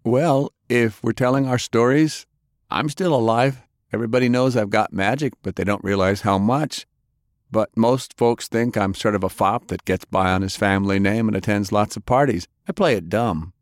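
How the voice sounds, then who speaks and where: A man voicing a foppish young gentleman magician who talks in an airy, breezy way. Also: fairly low pitch, normal speed, dry recording, clean studio sound, voice pushed up, close to an everyday voice. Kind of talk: audiobook character voice.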